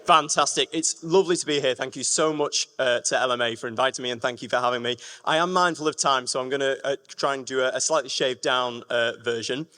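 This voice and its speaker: A man's voice: medium pitch, fast, hardly any pauses, sharp tone. A man from gives a public presentation, addressing the room directly with emphatic phrasing. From Lancashire, England. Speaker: music education manager giving a talk. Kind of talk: public presentation to a live audience. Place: large reverberant room at a live event. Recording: echoey hall with long reverb.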